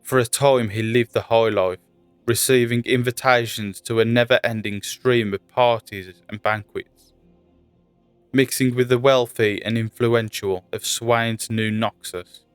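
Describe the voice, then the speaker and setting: A man reading scripted fantasy lore as a narrator, in a measured storytelling delivery. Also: mid-range voice, steady tone, smooth, dry recording. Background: faint music.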